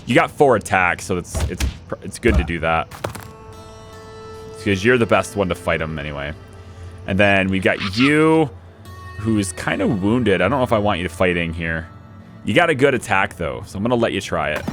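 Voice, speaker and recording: A young man talks his way through a strategy game, thinking aloud and coaching his units as he goes. His voice sits low but swings widely in pitch, and it has gone a little hoarse. Faint background music plays beneath him. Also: expressive, normal speed, a bit bright. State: losing his voice. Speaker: let's play host. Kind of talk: casual gameplay commentary.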